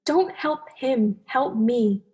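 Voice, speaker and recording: A woman's voice: a little high, animated, takes it slowly, darkish tone. A woman playing an aggrieved, stubborn female fish in a radio play, speaking bluntly and earnestly. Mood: pleading. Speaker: actor.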